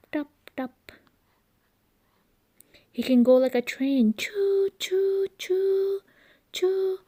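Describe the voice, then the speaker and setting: A woman reading a picture book aloud for young children, expressive and playful with the sounds of the words. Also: on the high side. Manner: expressive, with playful imitations of noises.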